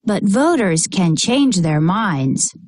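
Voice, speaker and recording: A woman reads a children's book aloud at a slow, careful pace. Her voice sits fairly high and moves in animated rises and falls, in a close, dry recording. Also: no echo. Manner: expressive, deliberate delivery for children. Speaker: storybook reader.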